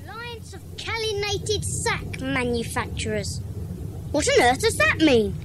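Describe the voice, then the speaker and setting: A woman playing an eager, inquisitive young schoolboy detective in a children's audio drama, excitable and full of questions. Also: very high voice, unhurried, loud music behind the voice, exaggerated voice. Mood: curious.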